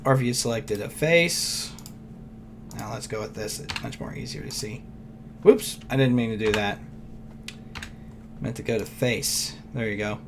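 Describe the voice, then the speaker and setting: A man with a hoarse, worn voice walks through a screen tutorial in a loose, rambling way, often stopping to clear his throat. His pitch sits in a plain middle range. Faint keyboard typing ticks along behind him. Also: unhurried, long pauses, noisy background. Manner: rambling, loose, thinks aloud with fillers. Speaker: software tutorial teacher. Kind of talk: casual screen-recorded tutorial.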